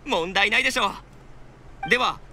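High-voiced, excitable young pilgrim. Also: male voice, moderate pace, slight background noise. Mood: eager, fawning. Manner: fawning, brash, theatrical. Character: pushy, excitable young male pilgrim seeking blessings.

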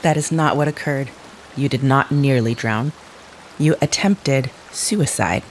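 A woman playing a cold, unsparing otherworldly woman in an audio drama, with measured, formal, precise diction. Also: extremely low pitch, slight background noise, poor audio. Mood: cold, accusing.

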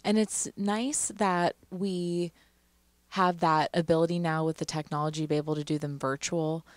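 A young woman attorney chatting casually as a studio guest on a headset mic, friendly and quick to laugh. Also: sits low, steady tone, dry recording. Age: young adult. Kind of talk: casual conversation. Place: dark studio.

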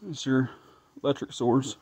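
A man's voice: mid-range voice, flat delivery, moderate pace, darkish tone, breathy edge, no echo. A man thinking aloud as he tests an engine at his garage workbench, casual and a bit frustrated. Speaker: home mechanic vlogger. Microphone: phone camera held close.

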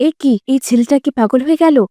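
A woman's voice: sits high, quick pace, warm tone, no echo, clean studio sound, lightly acted. A woman playing a proud, quarrelsome neighbourhood wife in a dramatic cartoon. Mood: shocked, embarrassed. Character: haughty, quarrelsome neighbourhood wife, later contrite.

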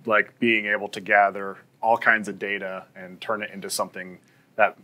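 A man's voice: on the low side, level tone, normal speed, pushed and bright. A man in his thirties chatting casually as the host and interviewer on a factory tour. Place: indoors, sound-testing room and factory floor.

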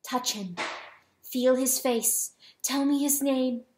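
A woman's voice: sits high, slow pace, darkish tone, slight background noise. A woman voicing a grieving, raging hen wife in a dramatic audiobook, pleading and declaiming with passion. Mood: raging, demanding. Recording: roomy, echoing sound.

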